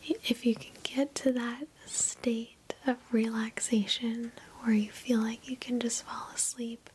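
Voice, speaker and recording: A woman whispers a guided meditation in ASMR style, softly and breathily, with a gentle, soothing, reassuring manner. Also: fairly high pitch, level tone, slow pace, slight background noise. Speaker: ASMR host guiding a meditation. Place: indoors, sitting on a bed.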